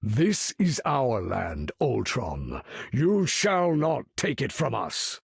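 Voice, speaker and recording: An actor voicing an imperious, weary older mutant leader in a video game, with grandiose, formal, commanding speech and a gravelly tone. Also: male voice, mid-range voice, huge pitch swings, slow, dry recording, studio quality, heavily acted. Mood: grave, resolute. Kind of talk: video game character voice lines.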